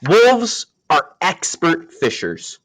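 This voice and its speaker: A man reading a children's nature story aloud, with expressive, emphatic phrasing and dramatic pauses. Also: a little high, slightly rough.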